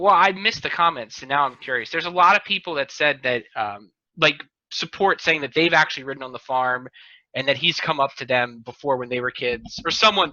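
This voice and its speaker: American male co-host, fairly high and very bright voice, loose conversational banter over a video call. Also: no room sound. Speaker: podcast co-host and local chamber of commerce chairman. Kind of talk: casual group banter. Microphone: video call microphone. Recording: dull, band-limited video call audio.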